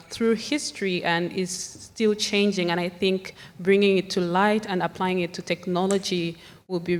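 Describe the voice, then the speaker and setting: A woman from Zambia speaking as a panelist, in a thoughtful, measured way. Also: fairly low pitch. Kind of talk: conference panel talk. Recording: long hall reverb.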